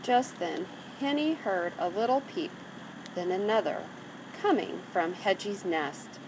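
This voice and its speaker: A woman reading a children's story aloud in an expressive narrating style. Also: mid-range voice, a little slow. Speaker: storybook reader. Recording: clearly noisy background.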